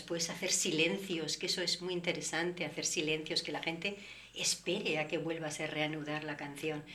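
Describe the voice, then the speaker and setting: A woman singer, guest in an interview, explaining her art at length in a relaxed, reflective way. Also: deep voice, a little hiss or hum. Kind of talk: relaxed conversation.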